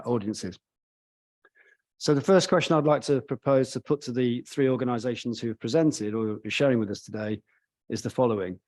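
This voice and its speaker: Man moderating a panel, measured. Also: mid-range voice, a little mellow. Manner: measured, formal phrasing.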